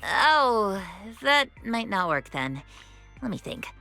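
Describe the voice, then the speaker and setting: A woman's voice: fairly high pitch, sing-song, slow, gravelly, bright, forceful tone, faint music behind, full character voice. A woman playing an earnest, slightly flustered young pet shop clerk in an anime-style video game. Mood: helpful.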